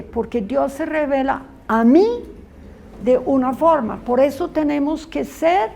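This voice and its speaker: A woman's voice: high voice, creaky, warm tone, a little hiss or hum. A woman Bible teacher giving a conversational classroom lecture to students. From Colombia. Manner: earnest, conversational teaching with asides.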